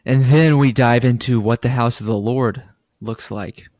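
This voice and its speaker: A man's voice: mid-range voice, fairly even intonation, a little slow, darkish tone, no echo, clean studio sound. A man co-hosting a casual podcast, joking, laughing often and recapping a sermon series. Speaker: podcast co-host. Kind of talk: casual chat.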